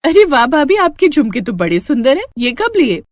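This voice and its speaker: Woman acting greedy college girl, high swooping pitch, pushy pleading, storybook drama, close dry sound. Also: very animated, normal speed, dry recording. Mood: wheedling. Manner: pushy, cajoling, whiny pleading. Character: greedy, demanding young sister-in-law, college student, wheedling and entitled.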